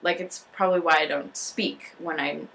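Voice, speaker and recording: Woman, fairly low voice, halting, filler-heavy casual chat. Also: steady tone, creaky, a bit bright, low-quality recording. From United States. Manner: halting, lots of fillers and restarts.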